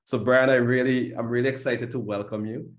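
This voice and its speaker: A man's voice: mid-range voice, steady tone, a little fast, reverberant. A man hosting an online summit who introduces a guest in a coaching pep-talk style, often saying "yeah". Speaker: summit host. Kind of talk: motivational event hosting.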